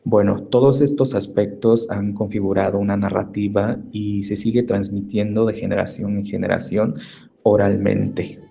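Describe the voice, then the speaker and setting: Mexican guy, low gravelly voice, mellow, talks it through with lots of eh and no?. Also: little pitch movement. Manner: measured, with frequent "eh" and "¿no?" tags. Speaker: literature scholar and guest. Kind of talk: explanatory podcast talk.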